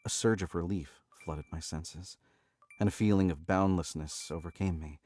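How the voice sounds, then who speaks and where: Man, deep voice, stuffy nose, casual streamer reading a book aloud, laughs easily. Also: moderate pace, darkish tone. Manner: casual and chatty, laughs often, corrects his own misreadings. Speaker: audiobook narrator and livestream host. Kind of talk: live reading of a novel with casual chat.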